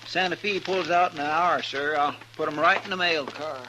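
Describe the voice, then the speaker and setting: A man's voice: on the high side, normal speed, background noise, natural voice. A man playing an eager, deferential, folksy frontier helper in a 1950s radio western. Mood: obliging.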